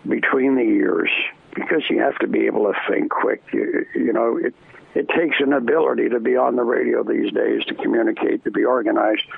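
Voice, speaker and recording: A man's voice: medium pitch, fairly even intonation, a little fast, gravelly, husky, no echo. An older man from Canada, a former arena announcer, chatting as an interview guest, rambling with many restarts and fillers. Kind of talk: casual interview chat. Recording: tinny phone line.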